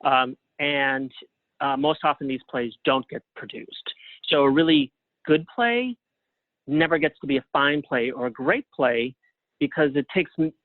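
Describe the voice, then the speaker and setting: A man giving conversational interview answers as a guest, speaking haltingly and thinking aloud as he goes. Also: medium pitch, dry recording. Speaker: guest playwright and librettist. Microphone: phone or call line. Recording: thin phone-line sound.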